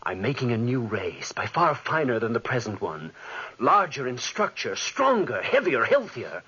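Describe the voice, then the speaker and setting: An actor playing a young, obsessed, proud scientist in an intense 1940s radio horror style. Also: male voice, medium pitch, very animated, moderate pace, sharp tone, husky, heavily acted. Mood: fervent. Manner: intense, dramatic. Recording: dull lo-fi 1940s radio recording.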